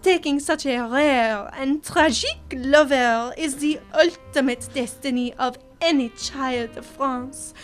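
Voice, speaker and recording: A woman's voice: sits high, takes it slowly, few pauses. A young woman playing a grandly tragic, lovesick French secretary in a comic radio serial, telling her tale in florid, theatrical style. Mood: wistful, tragic. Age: young adult. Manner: florid, theatrical storytelling with emotional outbursts. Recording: music behind the voice.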